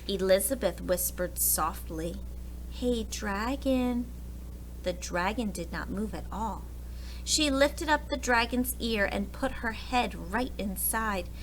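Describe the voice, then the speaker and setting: A woman teacher reading a storybook aloud to young children in an animated style. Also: medium pitch, a little slow, slight background noise, rough recording.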